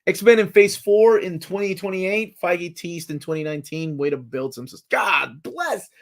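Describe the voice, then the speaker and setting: A man in his forties speaks in a slightly high voice. He hosts a live chat in a loose, casual way. He jumps from one comment to the next with a fan's excitement. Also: normal speed. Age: 40s. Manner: excitable fan, jumps between comments and guesses.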